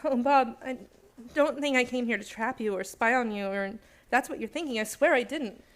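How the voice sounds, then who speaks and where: Woman playing an anxious, high-voiced secretary. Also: normal speed, close to an everyday voice. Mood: pleading. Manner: urgent, earnest. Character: anxious, loyal, pleading young secretary, a woman. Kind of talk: radio play suspense acting.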